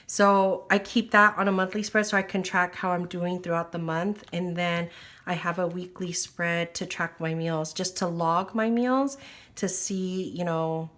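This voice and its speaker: A woman's voice: on the low side, steady tone, measured pace, fairly bright tone. A woman chatting casually about her planner while recovering from an illness, her voice slightly wheezy, with lots of fillers. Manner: chatty, filler-heavy, apologetic. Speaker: planner vlogger. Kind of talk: casual chat. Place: at a table indoors.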